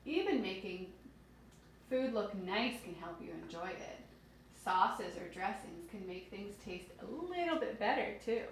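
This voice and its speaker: A woman teacher reads aloud to young children in an expressive way. She explains simply and asks questions as she goes. Her voice sits at a middle pitch, recorded in a strongly echoing room with faint noise. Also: a little slow. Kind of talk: children's read-aloud lesson with asides.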